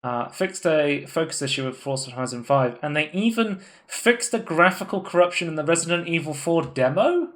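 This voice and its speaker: A man's voice: a little high, lively intonation, normal speed, hardly any pauses, fairly bright tone. A man co-hosting a live gaming podcast, chatting casually and rambling through tech news with lots of fillers and restarts. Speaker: podcast co-host. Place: home room, live stream.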